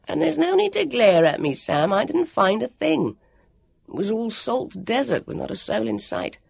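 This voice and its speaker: A high, airy, lordly boy, voiced by the narrator, with swooping pitch. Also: wildly swooping pitch, creaky, breathy edge, exaggerated voice. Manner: airy and lordly, quick to brush things off. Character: lordly, bossy young boy.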